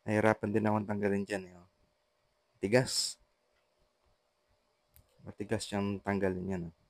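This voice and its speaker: A Filipino man narrating a casual how-to vlog in a halting, repetitive way, with short trailing phrases. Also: fairly low pitch, level tone, a little mellow. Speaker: vlogger, intercom installer.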